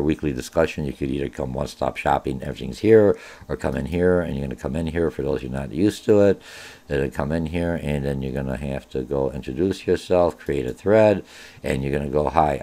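Very low-voiced man, middle-aged, college instructor, Polish first language, chatty and rambling, webcam at home desk. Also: gravelly, dark tone. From born in Germany. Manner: rambling, many "uh" fillers, informal and direct. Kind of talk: recorded online course orientation.

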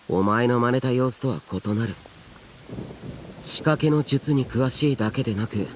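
An actor playing an ancient yet youthful guardian spirit warrior in an anime game, stern and aloof, speaking in an archaic, solemn, terse way. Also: male voice, medium pitch, a little mellow, a little hiss or hum, close to an everyday voice.

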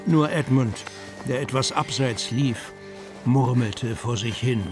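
An actor plays the storyteller of a children's fantasy radio play. He is a man with a mid-pitched voice, reading scripted narration. Music plays clearly behind him. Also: slow, lightly acted. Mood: storytelling. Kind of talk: scripted audio drama narration.

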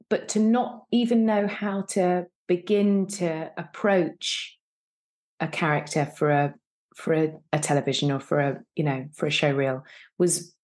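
A woman in her thirties with a voice a little lower than average talks casually as a podcast guest. She thinks aloud, reshaping her phrases as she goes, over a video call. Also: darkish tone. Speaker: guest, actor. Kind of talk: casual interview conversation. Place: indoor room, on a video call.

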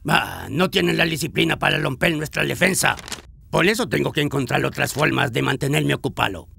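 An actor playing a sly, scheming older man who owns a rival team, with a gravelly cackling voice, in a Spanish cartoon dub. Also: medium pitch, brisk, a little hiss or hum. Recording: loud music under dialogue.

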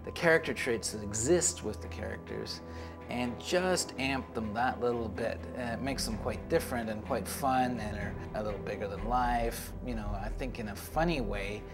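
A man's voice: medium pitch, normal speed, music in the background. A man in his forties talking in an interview about creative work, recorded indoors. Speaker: show creative team member. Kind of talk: interview talk about making a series. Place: indoor office with artwork on walls.

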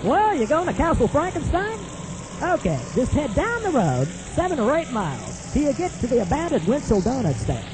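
A woman playing a gruff, folksy, blustering stagecoach driver in a comic radio play. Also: high voice, wildly swooping pitch, moderate pace. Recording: noisy old radio play recording.

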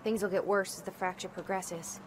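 A woman's voice: mid-range voice, steady tone, normal speed, slight background noise, natural voice. A woman acting a tough, sardonic, no-nonsense operative in a cinematic video game, terse and dry. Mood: serious, urgent.

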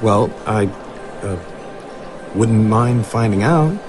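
A young actor playing a cheeky, eager film graduate who wisecracks conversationally in an animated comic drama. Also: male voice, on the low side, slow, music in the background. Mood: coaxing. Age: young adult.

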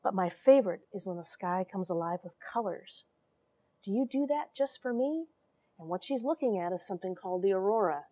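A woman in her forties reading and explaining a story to children in an animated, questioning way from a small airlock. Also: medium pitch. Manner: animated, expressive, addresses young listeners with questions. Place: small cramped metal space station airlock.

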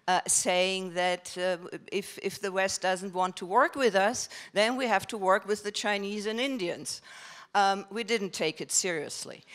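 A woman's voice: fairly low pitch, a bit bright. Earnest German woman commenting from the floor. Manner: earnest, halting with many fillers. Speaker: professor asking from the audience. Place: large conference hall.

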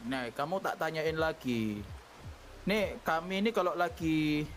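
A man's voice: a little high, unhurried, slight background noise. A man roleplaying a gang boss in an online game, teasing and grilling another player in a bossy way. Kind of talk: in-character roleplay chat.

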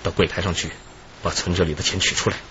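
Man acting a low, menacing robber. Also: little pitch movement, quick pace, natural voice. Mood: cold menace. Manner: controlled, threatening. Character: menacing male bank robber threatening his hostage. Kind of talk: radio drama acting. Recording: dull, lo-fi, noisy radio drama track.

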